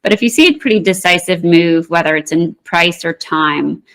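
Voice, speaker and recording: A woman market analyst, a guest in an interview, explaining price charts in a measured way with frequent ums and restarts. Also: fairly low pitch, a bit bright.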